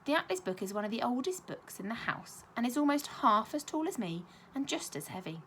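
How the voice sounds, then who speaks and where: Expressive teacher reading aloud. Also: female voice, a little high, fairly quick, a little hiss or hum. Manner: expressive storytelling with lively intonation. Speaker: primary school teacher. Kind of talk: reading a children's novel aloud.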